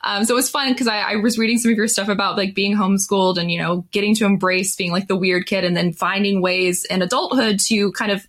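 A woman's voice: medium pitch, steady tone, fast talker, few pauses, a bit bright. A woman co-hosting a show and interviewing in a casual, conversational style. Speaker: co-host and interviewer.

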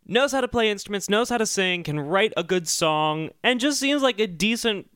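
A man's voice: sits high, animated, normal speed, fairly bright tone, smooth, dry recording, clean studio sound. A man co-hosting a comedy podcast in a casual, earnest, rambling and somewhat defensive way. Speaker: podcast co-host.

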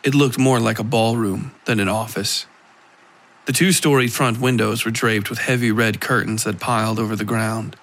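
Gravelly man narrating a horror story. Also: fairly low pitch, fairly even intonation, normal speed, a bit bright. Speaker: narrator of a fiction podcast. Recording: clear music behind the voice.

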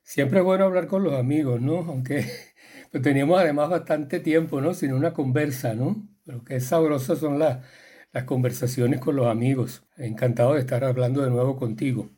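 An older man from the Canary Islands recalls his life in a mellow, warm voice. He talks in a reflective, rambling way, restarting phrases and often adding a questioning "no?" at the end. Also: mid-range voice, normal speed, husky. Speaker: guest filmmaker. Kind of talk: relaxed storytelling.

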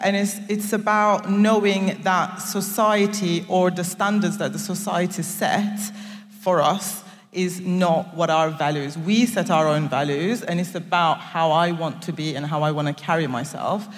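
A woman's voice: fairly low pitch, measured pace. Woman in a reverberant hall. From Turkey and Nigeria. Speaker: guest designer. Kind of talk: live stage conversation. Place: concert hall stage. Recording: long reverb of a live concert hall.

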